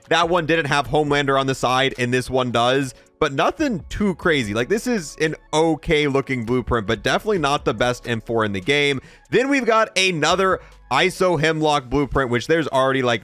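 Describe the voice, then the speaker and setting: A man giving casual, joking video game review commentary in an animated way. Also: fairly high pitch.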